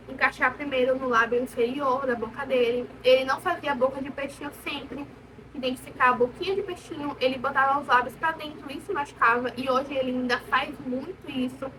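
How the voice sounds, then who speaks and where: A young Brazilian woman with a high voice speaks casually and candidly, as a vlogger sharing her own experience. She leans on repetition for emphasis. The recording sounds distant from the mic, with clear room noise. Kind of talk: casual personal storytelling to camera.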